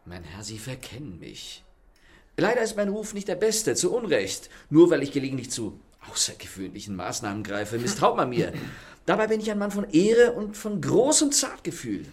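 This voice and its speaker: An actor playing a self-assured, courteous and mocking gentleman thief in a German radio play, with polished theatrical manners. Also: on the high side, sing-song, moderate pace. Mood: smug, teasing.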